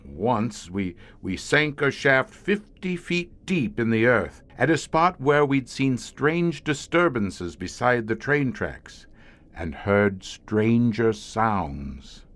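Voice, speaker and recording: A slow, haunted veteran scientist. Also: male voice, medium pitch, big pitch swings, fairly bright tone. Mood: haunted, despairing. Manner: grave, florid, dramatic storyteller with weighty pauses. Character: haunted, erudite veteran scientist guarding monster-infested subway tunnels. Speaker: actor in an audio horror drama. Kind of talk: theatrical audio drama acting.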